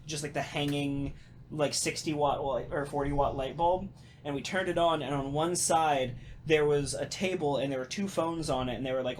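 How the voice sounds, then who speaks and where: A man with a fairly high voice talks casually on a podcast, animated and halting as he tells stories, swearing freely. There is some background room noise. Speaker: podcast host and voice actor. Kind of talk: casual podcast chat and storytelling.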